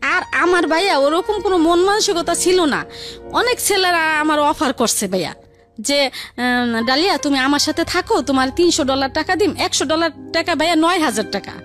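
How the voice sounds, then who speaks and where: A woman's voice: sits high, normal speed, a bit bright. A woman with a colloquial Dhaka-region Bengali accent telling her life story in a rambling, repetitive way as an interview guest. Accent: colloquial Bangladeshi Bengali, Dhaka region. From Bangladesh. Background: faint background music.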